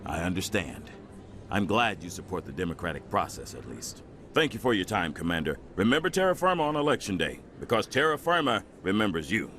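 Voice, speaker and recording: A man's voice: sits low, very animated, fairly quick, a bit bright, a little hiss or hum, heavily acted. A man playing a self-important nationalist politician in a video game, formal and oratorical as he courts votes. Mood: stiffly courteous.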